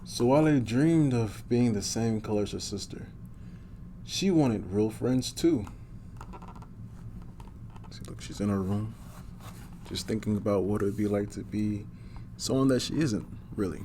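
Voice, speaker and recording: A man's voice: on the low side, measured pace, pauses often, warm tone, slight background noise. A man reading a picture book aloud to children in a superhero persona, adding small asides as he goes.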